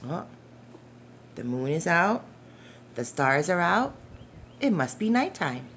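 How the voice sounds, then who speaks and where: A woman reading a picture book aloud to young children in a gentle, deliberate, expressive way, with questions put to the listeners. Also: on the low side, a little hiss or hum. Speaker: storytime reader for preschoolers.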